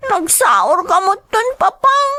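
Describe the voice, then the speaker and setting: A woman playing a young schoolgirl daughter in a radio drama, affectionate and easily upset, with an expressive childlike delivery. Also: very high voice, slow pace, exaggerated voice. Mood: surprised, hurt.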